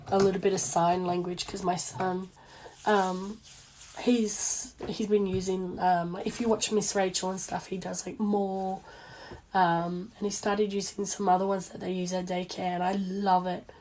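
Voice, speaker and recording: A young Australian woman talks in a fairly low, hoarse voice that sounds worn out. She rambles in an informal, self-deprecating way, as on a casual craft livestream. There is clear noise and clicking in the room behind her. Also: level tone, a little slow, darkish tone, low-quality recording. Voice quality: hoarse, weakened voice. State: losing voice after illness. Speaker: small business owner and crafter livestreaming. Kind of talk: casual chatty livestream while sewing. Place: indoor home sewing workspace.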